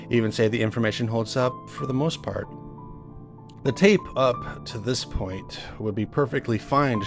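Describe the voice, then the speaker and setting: Male horror narrator, fairly low register, conversational first-person retelling with halting, reminiscing delivery over faint music. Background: music under the voice.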